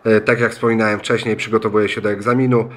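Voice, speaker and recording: A man's voice: lowish voice, level tone, fast. A man in a radio club room, speaking in a friendly, slightly hesitant way as he talks on the radio. He spells out call signs. His voice is picked up by a phone lying in the room. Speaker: ham radio student operator. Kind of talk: amateur radio contact. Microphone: phone recording in the room.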